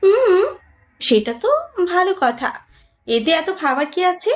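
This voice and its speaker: A woman plays an easygoing mother in a romantic audio story. Her voice is high and swings with lively intonation, teasing and encouraging her grown son in a direct manner. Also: slow pace, faint music behind, heavily acted.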